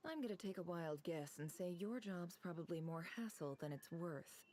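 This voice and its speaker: A woman's voice: on the low side, expressive, moderate pace, a little mellow, a little hiss or hum, lightly acted. An actress playing a shrewd, self-assured woman intelligence broker in a video game, with a cool, dry, probing manner. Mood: wary, dry.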